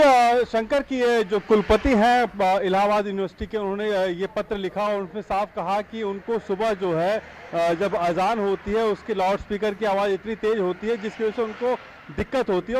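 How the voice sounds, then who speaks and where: A man giving a live, unscripted news report as a correspondent, halting and repetitive with many fillers. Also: high voice, rough recording. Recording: live feed with clear background noise.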